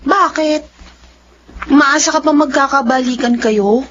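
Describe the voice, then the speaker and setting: High-pitched frank young Filipina friend. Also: female voice, unhurried, fairly bright tone. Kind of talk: Filipino radio drama acting. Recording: muffled radio sound.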